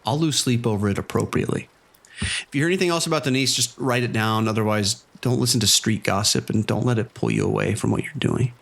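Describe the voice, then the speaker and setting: A man playing a dry, cautious vice sergeant in a police radio drama, giving orders with deadpan authority. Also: on the low side, fast, vocal fry. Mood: dry, dismissive.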